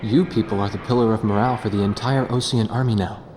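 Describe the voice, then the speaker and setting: A man's voice: on the low side, fairly even intonation, fast, a little mellow, slight background noise, close to an everyday voice. An actor playing an earnest, serious military man in a game cutscene. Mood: urgent, serious. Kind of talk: dubbed game cutscene drama.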